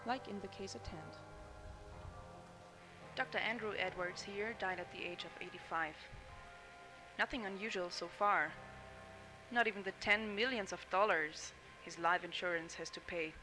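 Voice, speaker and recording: A woman's voice: lowish voice, lightly acted. A woman voicing a cynical young fraud investigator. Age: young adult. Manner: cool, cynical, matter-of-fact. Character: cool, ambitious female insurance fraud chief. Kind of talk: scripted game intro narration.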